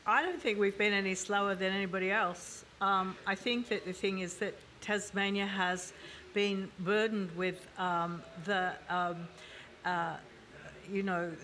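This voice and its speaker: A woman's voice: on the low side, a bit bright, a little hiss or hum. Frank woman. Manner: frank, thoughtful, many fillers and restarts. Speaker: panel guest. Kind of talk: panel discussion.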